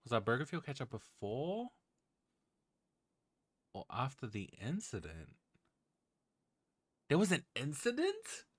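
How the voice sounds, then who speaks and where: A man's voice: medium pitch, huge pitch swings, slow, pauses often, dry recording. A man chatting casually and giggly while live-streaming a game, reacting as he plays.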